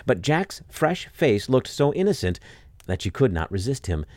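A man narrating a fairy tale as an expressive storyteller, reading from a script. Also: medium pitch, normal speed, noisy background. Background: music under the voice.

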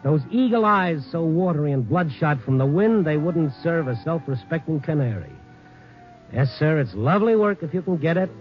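A man's voice: fairly high pitch, lively intonation, normal speed, close to an everyday voice. Old 50s radio drama, muffled w/ some music, guy playing a jaded wisecracking night reporter, hard-boiled storyteller. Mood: wry, sardonic. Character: wry, world-weary male newspaper night reporter. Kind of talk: 1950s radio drama narration. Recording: muffled 1950s radio recording, music behind.

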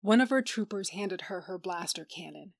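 A woman's voice: mid-range voice, expressive, moderate pace, gravelly, no echo, clean studio sound. A woman playing a wary adventurer, narrating a space story in the first person. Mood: wary, knowing.

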